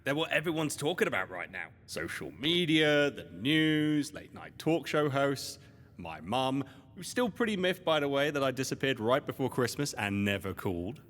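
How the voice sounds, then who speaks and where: Wry sidekick, reverberant. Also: male voice, mid-range voice, sing-song, normal speed, fairly bright tone. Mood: wry, hesitant. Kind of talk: audio drama dialogue.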